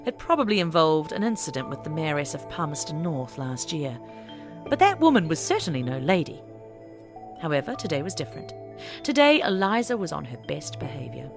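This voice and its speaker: A woman reading a scripted story aloud as an audiobook narrator. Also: low voice.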